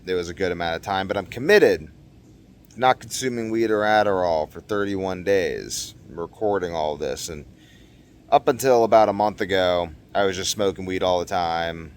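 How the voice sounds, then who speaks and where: A young man talking casually to camera in a rambling, slangy vlog monologue, animated and given to acting out what people said. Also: low-pitched, slight background noise. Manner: animated, exclamatory, slangy, acts out quotes. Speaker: vlogger.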